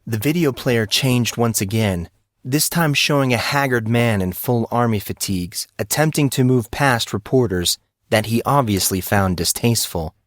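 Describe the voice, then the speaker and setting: A man reading scripted narration for an audio drama in a steady storytelling voice. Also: mid-range voice, moderate pace, no room sound, studio quality.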